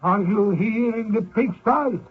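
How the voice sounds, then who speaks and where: Thin, phone-like old radio sound frames a military captain on a manhunt. He is high for a man and slightly husky, giving formal, deliberate orders with firm command. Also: full character voice. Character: commanding military captain hunting an escaped prisoner. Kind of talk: old-time radio drama acting. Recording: muffled, very tinny old radio broadcast.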